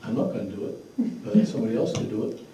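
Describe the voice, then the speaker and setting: A man's voice: lowish voice, lively intonation, quick pace, mellow, a little hiss or hum. A man speaking in a blunt, matter-of-fact way at a public meeting, picked up by a distant mic in an echoey room. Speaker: fundraiser organizer speaking at a town meeting. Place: mid-sized indoor meeting room. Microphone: far room microphone.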